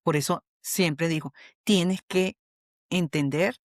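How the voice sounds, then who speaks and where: A Venezuelan woman, a human resources specialist, talking as a guest in an interview, animated and often checking in with "¿ok?". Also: fairly low pitch, slow, no echo, studio quality. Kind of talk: conversational interview.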